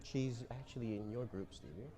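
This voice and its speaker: An actor in a staged reading playing a smug, entitled factory commander who is condescending and menacing. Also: male voice, medium pitch, normal speed, a crowd in the background, natural voice. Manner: smug, condescending, easily riled.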